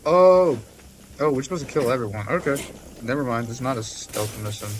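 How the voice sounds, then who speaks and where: A man's voice: mid-range voice, animated, fairly quick. A man giving casual gameplay commentary and reading game text aloud, with faint noise behind him. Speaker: gaming streamer.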